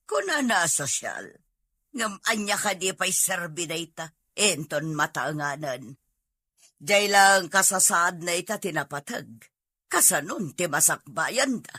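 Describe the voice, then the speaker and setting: A woman playing a concerned older mother yearning for a grandchild in an audio drama, fretful and probing, with a husky voice and an Ilocano accent. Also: fairly low pitch, lively intonation, a little slow, bright, forceful tone, dry recording, full character voice.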